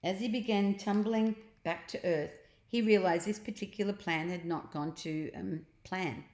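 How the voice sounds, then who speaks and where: Woman, storybook reader for children, mid-pitched voice, roomy echoing recording. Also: moderate pace, darkish tone. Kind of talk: children's read-aloud narration with asides about the pictures. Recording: echoey, roomy home recording.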